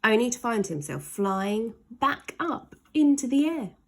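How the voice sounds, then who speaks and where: Animated, slow-paced woman reading a children's story. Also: fairly high pitch. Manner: dramatic, drawn-out emphasis on key words. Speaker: teacher reading aloud.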